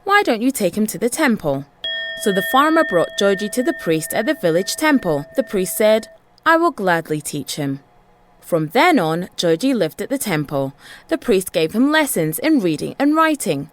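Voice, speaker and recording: Female storyteller for children's tales, dramatic and rhythmic, with a highly lively, swooping pitch. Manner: dramatic, rhythmic delivery with repeated phrases.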